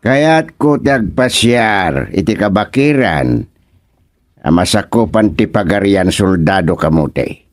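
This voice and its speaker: A man playing a confident, jolly king in a radio comedy drama, speaking theatrically with drawn-out exclamations. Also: fairly low pitch, lively intonation, no echo, clean studio sound. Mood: commanding. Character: confident, jolly king going hunting with a medallion.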